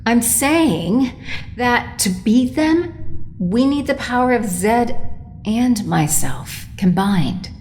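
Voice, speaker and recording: A woman playing a weary, commanding evil sorceress empress in an audio drama, speaking deliberately and imperiously. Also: fairly high pitch, lively intonation, darkish tone, soft background music. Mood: resolute.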